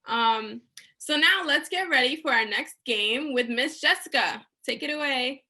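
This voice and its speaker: Bright, high-pitched woman hosting children's story time. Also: unhurried. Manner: encouraging, addressing young children with questions. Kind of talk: animated children's read-aloud hosting.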